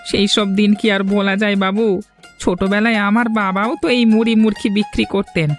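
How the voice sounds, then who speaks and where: A man's voice: high voice, level tone, a little fast, soft background music. A man voicing a humble village snack-cart vendor in a cartoon fairy tale. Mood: humble, chatty.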